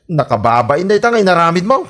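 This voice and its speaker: A man's voice: sits high, big pitch swings, brisk, fairly bright tone. A man with an Ilocano accent playing an embarrassed, scolding husband in a comedy radio drama, exclamatory and accusing.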